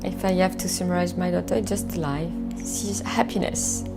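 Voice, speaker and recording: A middle-aged woman from France with a French accent, speaking English as a second language in a reflective interview, halting and searching for words. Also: fairly low pitch, steady tone, over loud music. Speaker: documentary interviewee.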